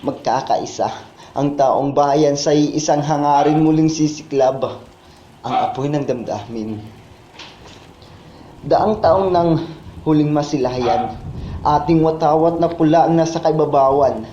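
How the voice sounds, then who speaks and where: A Filipino man performs spoken word poetry with solemn, declamatory fervor. His voice is soft-edged and mellow, pitched a little high for a man. The recording is noticeably echoey. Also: measured pace, pauses often. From Philippines. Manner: solemn, declamatory, impassioned patriotic delivery. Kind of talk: dramatic Tagalog spoken word poetry recital. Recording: muffled, echoey, with background noise.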